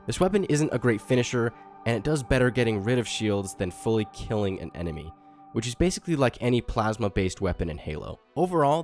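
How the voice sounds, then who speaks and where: A man with a fairly low voice, his sound slightly off from a cold. He explains a game in an upbeat, scripted voice-over aimed straight at his viewers. Faint music plays underneath. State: has a cold, voice a little off. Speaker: gaming YouTuber. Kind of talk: scripted gaming tutorial voice-over.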